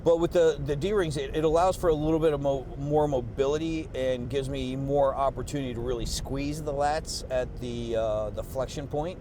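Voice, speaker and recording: A man's voice: mid-range voice, fairly even intonation, normal speed, few pauses. A worn-out man talking casually after a workout, rambling and swearing with lots of fillers, on a clip-on mic under his jacket. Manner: rambling, profane, with many fillers and restarts. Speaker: fitness vlogger. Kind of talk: casual post-workout vlog talk. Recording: noisy outdoor street recording. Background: traffic and street noise.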